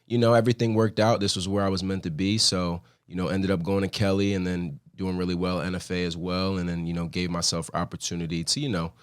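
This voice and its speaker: A man's voice: deep voice, level tone, quick pace, few pauses, clean tone. An American man from Philadelphia talking casually and reflectively as a guest in a studio interview. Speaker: guest, former college athlete and mentor. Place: podcast studio.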